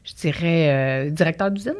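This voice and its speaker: Woman, Québécois accent, lower voice, energetic talkative guest. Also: fairly quick. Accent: Québécois French. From Quebec. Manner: energetic, talkative, lively storyteller. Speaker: guest entrepreneur. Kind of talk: casual interview chat.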